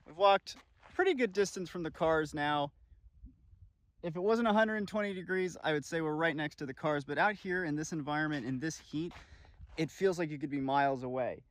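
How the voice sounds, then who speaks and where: A man's voice: fairly high pitch, a little fast. A man explaining things to camera as a video journalist, in an on-location presenting style. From desert region. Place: outdoors in open desert, sometimes near vehicles. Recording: outdoor field recording with clear ambient noise.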